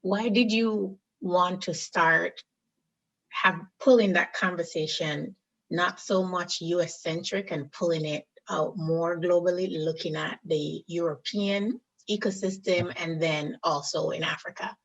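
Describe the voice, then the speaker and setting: Low, gravelly-voiced woman host. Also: steady tone, unhurried, lo-fi sound. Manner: reads sponsor copy, then asks questions. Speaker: podcast host. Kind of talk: podcast interview hosting.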